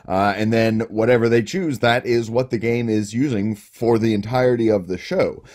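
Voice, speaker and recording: A man co-hosting a game show podcast, relaxed and explaining things at length with plenty of fillers. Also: fairly low pitch, moderate pace. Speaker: podcast co-host. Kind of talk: casual chat.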